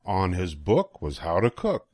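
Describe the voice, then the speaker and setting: An older man reading a children's picture book aloud in an expressive, sing-song way with deliberate pauses. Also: deep voice, dry recording, clean studio sound. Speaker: storybook reader.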